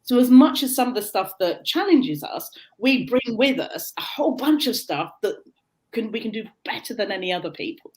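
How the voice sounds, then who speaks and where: A woman's voice: fairly high pitch, very animated, moderate pace, darkish tone. A British woman, a coach, answering interview questions in an earnest, explanatory way with frequent restarts, over a video call. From Britain. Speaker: guest, neurodiversity coach.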